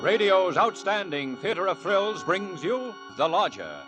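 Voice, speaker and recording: A male announcer from 1940s radio, pitched high with sweeping, animated intonation. He projects theatrically over prominent music. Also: unhurried.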